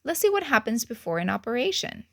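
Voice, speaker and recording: A woman voicing a kind female bear doctor who explains things in a bright, friendly way. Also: on the high side, very animated, fast, gravelly. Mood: kindly.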